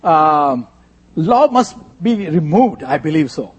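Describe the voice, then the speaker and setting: High-voiced Indian male preacher, husky. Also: big pitch swings, takes it slowly. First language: Gujarati. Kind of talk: sermon delivered in short phrases for an interpreter.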